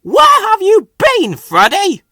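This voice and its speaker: A man voicing a bossy, quick-tempered railway controller in a children's storybook. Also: very high-pitched, wildly swooping pitch, bright, forceful tone, dry recording, studio quality, pitched above the performer's own voice, exaggerated voice. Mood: cross.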